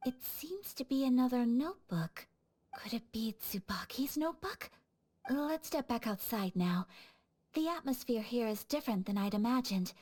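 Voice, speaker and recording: High-voiced, refined young noblewoman. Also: expressive, a little mellow, slightly rough. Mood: hesitant. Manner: polite, formal, measured phrasing. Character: refined, courteous young noblewoman, gentle and thoughtful. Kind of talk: anime game voice acting.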